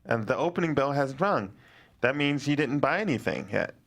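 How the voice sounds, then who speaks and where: A woman reads a level-headed teenage boy who takes charge when things go wrong. Her voice is low but swings widely in pitch, and her delivery is quick and practical. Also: animated, exaggerated voice. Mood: urgent. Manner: urgent, practical. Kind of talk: table read of a comedy script.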